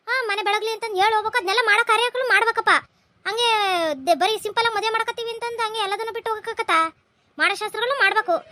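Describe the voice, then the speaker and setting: A woman doing a fussy village mother, very high. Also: fairly even intonation, fast, sharp tone. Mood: fussing. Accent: North Karnataka Kannada. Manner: fussy, scolding, talks over others. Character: fussy village mother of the bride, middle-aged. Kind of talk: cartoon voice acting.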